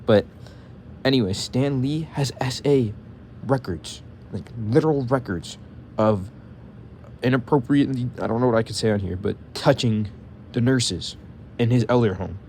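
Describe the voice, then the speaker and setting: A man's voice: mid-range voice, normal speed, darkish tone, a little hiss or hum. A young man ranting casually to his viewers, profane and heated, saying bro constantly and stuttering when he gets mad. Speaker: vlogger.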